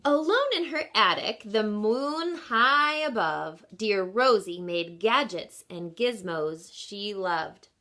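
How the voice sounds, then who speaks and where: A woman reading a children's picture book aloud as a teacher, expressively and with deliberate emphasis, speaking in an encouraging way as if to young listeners. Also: mid-range voice, lively intonation, sharp tone. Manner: encouraging, addresses children with questions and explanations.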